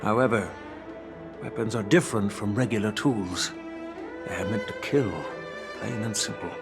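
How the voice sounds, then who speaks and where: An actor playing an elderly master swordsmith and grandfather, telling a grave story in a measured voice in a game cutscene. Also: on the low side. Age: older. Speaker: game character. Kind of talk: video game cutscene voice acting.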